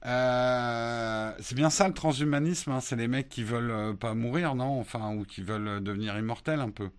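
A man from France hosting a casual morning live stream, answering viewer questions in a relaxed, hesitant way with many fillers. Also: mid-range voice, normal speed, clean tone. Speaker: host of a morning live show answering chat.

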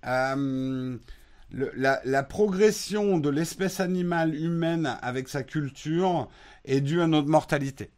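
A man hosting a morning live stream, chatting casually through viewer questions. His voice sits a little higher than most men's. He talks in a relaxed, meandering way, often pausing on fillers and restarting his sentences. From France. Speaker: host of a morning live show answering chat.

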